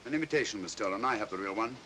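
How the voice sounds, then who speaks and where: An actor playing a sharp, self-assured English detective, crisp and probing, in vintage mystery film style. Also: male voice, lowish voice, expressive, very fast. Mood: coolly assured. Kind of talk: 1940s mystery film acting. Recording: noisy vintage film soundtrack.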